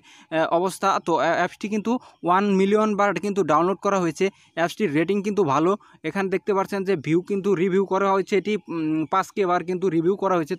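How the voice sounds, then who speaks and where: A man's voice: high-pitched, dry recording, studio quality. A man narrating an app tutorial as host, explaining step by step in an instructional, repetitive way.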